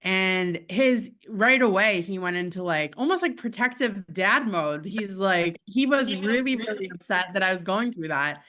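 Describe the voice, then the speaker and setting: Woman, low voice, bright tone, chatty, laughs a lot, interview guest. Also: animated, moderate pace, hardly any pauses, slight background noise. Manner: chatty, laughs often, many fillers. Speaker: guest musician. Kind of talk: casual interview chat.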